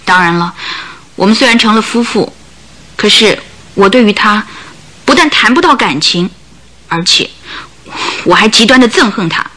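A woman's voice: medium pitch, lively intonation, normal speed, pauses often, sharp tone, low-quality recording. An actress in a radio play voicing a bitter, sorrowful circus trainer's wife, telling her hard life in a plaintive, dramatic way with sighs. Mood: hateful, bitter.

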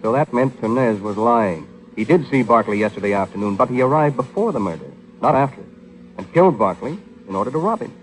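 An American actor playing a cool, sardonic, teasing private detective in a vintage 1940s radio drama. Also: male voice, mid-range voice, expressive, brisk, close to an everyday voice, rough recording. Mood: explaining. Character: wisecracking, cool-headed male private detective, flirtatious with women.

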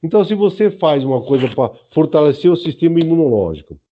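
Older Brazilian man, higher-pitched, dull audio. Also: very animated, fast talker. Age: early 70s. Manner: didactic, often adds checking tags. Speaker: senior Aikido instructor, interview guest. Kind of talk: informal explanatory interview talk.

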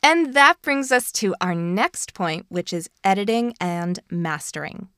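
A woman's voice: medium pitch, expressive, a little slow, fairly bright tone, no room sound, studio quality, soft background music. A woman hosting a podcast, explaining things in an encouraging, direct way. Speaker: podcast host.

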